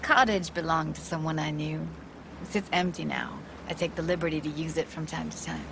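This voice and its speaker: A playful, self-assured young techie woman in a cinematic video game. She talks in laid-back slang with a teasing edge, and clear watery ambient noise runs behind her. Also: low-pitched, steady tone, brisk. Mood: thoughtful. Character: playful, self-assured young female braindance editor and diver.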